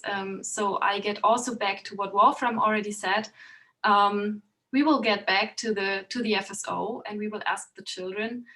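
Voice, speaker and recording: A woman's voice: mid-range voice, a bit bright, poor audio. Hesitant woman speaker on a video call. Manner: hesitant, halting phrasing. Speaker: conference speaker. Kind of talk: online conference presentation.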